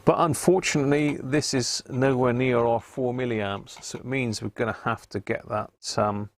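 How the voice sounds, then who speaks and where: A British man giving casual, unhurried live commentary as he works at a repair bench, half muttering to himself. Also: mid-range voice, fairly even intonation, no echo. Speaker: host of a live radio repair stream. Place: indoor repair workbench.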